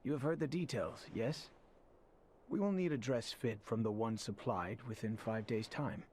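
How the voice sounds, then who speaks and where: An actor playing an earnest, dutiful young prince with formal, archaic courtly speech in a video game cutscene. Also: male voice, mid-range voice, moderate pace, darkish tone, slight background noise, lightly acted. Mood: courteous.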